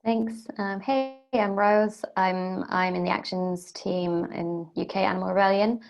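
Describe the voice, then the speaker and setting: A woman activist introducing herself in a relaxed, casual way on an online panel. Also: mid-range voice, a little slow, gravelly, rough recording.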